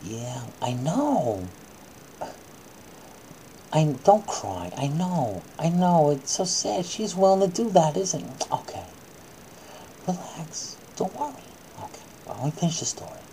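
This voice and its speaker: A woman's voice: sits low, very animated, measured pace, long pauses, mellow, slight background noise, rough recording. A woman acting out a bedtime story for children, moving between dramatic reading and coaxing, soothing asides.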